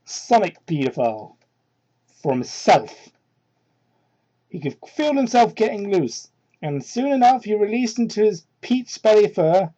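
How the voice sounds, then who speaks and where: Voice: mid-range voice, big pitch swings, unhurried, long pauses, dark tone, highs cut off. A person giving a mocking dramatic reading of fan fiction, breaking off into exasperated, incredulous, foul-mouthed asides, on a poor-quality hobby microphone. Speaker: amateur reader of fan fiction.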